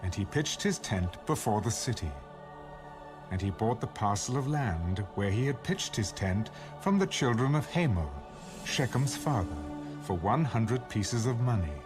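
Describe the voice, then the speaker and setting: A man narrating dramatized scripture with measured storytelling. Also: medium pitch, expressive. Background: music.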